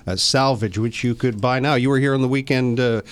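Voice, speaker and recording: A man's voice: medium pitch, steady tone, brisk. A man in a mid-range voice hosts a radio show from a studio. He asks his questions conversationally, often restarting a phrase before finishing it. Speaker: radio host. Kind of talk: radio interview.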